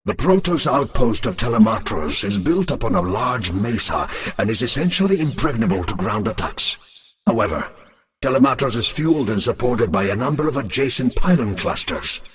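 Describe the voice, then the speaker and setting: A man playing a dutiful lieutenant to an alien queen in a video game, formal, measured and deferential. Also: medium pitch, sing-song, natural voice. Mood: calculating.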